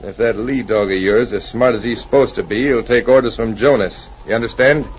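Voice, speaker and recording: An actor playing a ruthless, swaggering outlaw gang leader in a 1940s radio adventure, bossy, with a rough folksy frontier way of talking. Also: male voice, medium pitch, fairly quick, lightly acted. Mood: menacing. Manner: bossy, folksy frontier drawl with dropped grammar. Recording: muffled, noisy 1940s radio recording.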